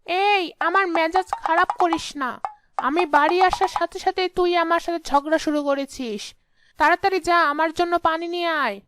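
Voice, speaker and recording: Super high screechy-pitched witch wife for a cartoon folk tale, bossy, threatening, imperious. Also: normal speed, fairly bright tone. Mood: angry, threatening. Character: fierce, bossy witch possessing a young village wife. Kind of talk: animated fairy-tale voice acting.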